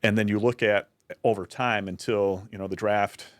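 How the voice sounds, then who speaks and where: Guest, male, low voice, dry close mic, earnest explainer. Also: fairly even intonation, normal speed, no room sound. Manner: earnest, explanatory, frequent restarts. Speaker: university director of military affairs, guest. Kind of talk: casual podcast conversation.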